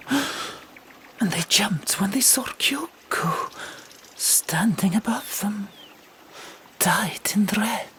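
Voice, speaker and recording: A woman narrating an audiobook in a hushed ASMR whisper, with deliberate, dramatic phrasing. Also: medium pitch.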